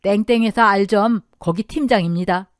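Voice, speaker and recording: A woman's voice: medium pitch, normal speed, dry recording, clean studio sound, natural voice. A woman voicing an older man, a protective father-in-law, speaking in a firm and hearty way. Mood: proud.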